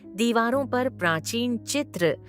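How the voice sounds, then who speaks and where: Slow, expressive woman narrator. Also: on the high side, soft background music. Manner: expressive, measured storytelling. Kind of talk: scripted storytelling narration.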